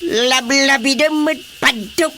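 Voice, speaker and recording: A man's voice: very high-pitched, takes it slowly, pushed and bright, a little hiss or hum, full character voice. A man playing an exasperated village chairman in a radio comedy drama, scolding with an Ilocano Filipino accent.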